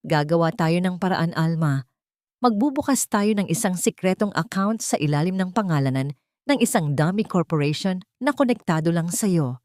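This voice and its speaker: A woman reads the part of a protective male lawyer in a storybook drama. The delivery is calm, deliberate and quietly reassuring, in a somewhat low register with a dry, close sound. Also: no echo, natural voice.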